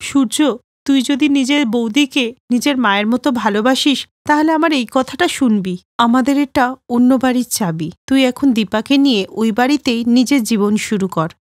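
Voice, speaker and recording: A woman's voice: a little high, normal speed, no echo, clean studio sound, lightly acted. A woman voicing a motherly but clingy and possessive elder sister-in-law in a cartoon story, chatty and insistent. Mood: solemn.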